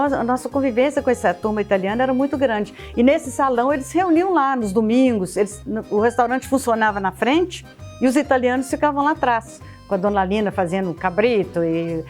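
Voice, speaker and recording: An older woman speaking in an ordinary register, looking back with affection on lively family gatherings. She talks unhurriedly and circles back over her words as memories come to her. Faint music plays beneath her voice. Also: a little high, a little fast. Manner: warm, nostalgic storytelling with repeated words. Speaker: interviewee. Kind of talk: reminiscing in a documentary interview.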